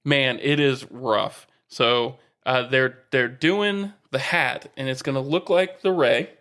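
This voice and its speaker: Casual American man, medium pitch, often chuckling. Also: takes it slowly, a bit bright. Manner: informal, joking, chuckles often. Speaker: sports podcast co-host. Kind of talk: casual sports banter.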